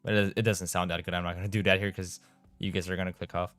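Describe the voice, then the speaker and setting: Low-voiced young Romanian-accented streamer. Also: male voice, little pitch movement, quick pace, fairly bright tone, no echo. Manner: chatty, reads chat aloud, trips over his words. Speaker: game streamer. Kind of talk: casual live-stream chat while playing.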